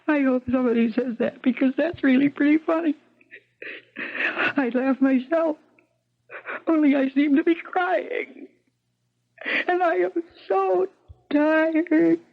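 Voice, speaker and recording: An actress playing a despairing, self-mocking American wife and mother in a rambling radio drama monologue, with a low voice. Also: fairly even intonation, takes it slowly, vocal fry, long pauses, full character voice. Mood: tearful, exhausted. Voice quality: low-pitched voice. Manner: rambling, wry, self-interrupting. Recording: tinny, band-limited 1970s radio recording.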